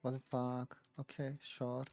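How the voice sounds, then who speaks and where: Low, mellow, deadpan woman gamer. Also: unhurried. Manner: deadpan, terse. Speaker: gaming streamer. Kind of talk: casual gameplay commentary.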